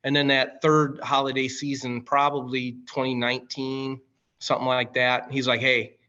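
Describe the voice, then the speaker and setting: A man's voice: mid-range voice, monotone, moderate pace, bright, forceful tone, lo-fi sound. A man in his late forties with an American accent, telling stories casually and colloquially as a podcast guest. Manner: colloquial, familiar, calls listener man and brother. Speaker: podcast guest, firefighter and coffee company director. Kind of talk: casual conversational storytelling.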